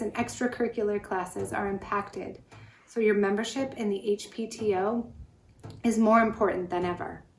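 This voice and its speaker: A roomy, echoing recording with some background noise carries a woman's voice at an ordinary middle pitch. She reads a scripted community announcement in a measured, organized way. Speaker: parent-teacher organization announcer.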